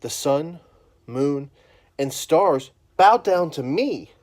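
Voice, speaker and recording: A man's voice: on the high side, lively intonation, slow, a little mellow, pitched above the performer's own voice. A man voicing a boastful young man named Joseph while reading a bedtime storybook aloud. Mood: proud.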